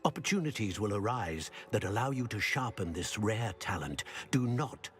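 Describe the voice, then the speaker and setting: Professor Rackham, an actor as an expressive, low-voiced old wizard. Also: male voice, faint music behind, lightly acted. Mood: grave, instructive. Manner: formal, measured phrasing. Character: old professor wizard, dignified, grave, curious mentor. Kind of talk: video game character acting.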